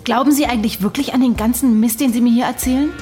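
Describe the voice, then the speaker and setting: Woman acting sardonic psychiatrist, radio drama, fairly high voice, probing, dry, faint music behind. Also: steady tone, fast talker, dark tone, close to an everyday voice. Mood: firm, exasperated. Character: skeptical, sardonic female psychiatrist questioning her patient.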